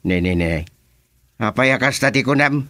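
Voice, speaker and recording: An actor playing an officious, teasing village chairman with an Ilocano accent, questioning in a comically deliberate way in a radio comedy. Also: mid-range voice, expressive, fairly bright tone, dry recording, clean studio sound, close to an everyday voice. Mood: probing.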